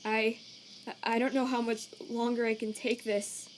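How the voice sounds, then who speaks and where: A woman's voice: mid-range voice, steady tone, a little hiss or hum, natural voice. A laid-back male cartoon skeleton acted out by a woman talks in a middling register. He is slangy and protective, with a weariness that makes him halt over his words. Night insects chirp faintly behind him. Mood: anguished. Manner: casual, slangy, halting when troubled. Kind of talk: comic dub acting. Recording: cricket night ambience behind.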